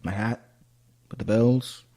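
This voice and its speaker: A man's voice: medium pitch, steady tone, slow pace, dark tone, reverberant, natural voice. A man playing an anguished, lovesick court clown in a radio play, with a theatrical, poetic, brooding delivery. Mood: wistful, defeated.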